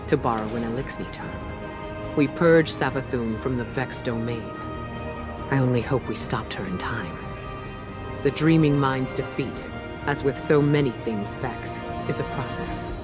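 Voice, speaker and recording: A grave, wary game commander, played by a man, speaks at a middling pitch in a measured and solemn way. He sounds weary and deliberate, as in a scripted cutscene, with music underneath. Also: natural voice.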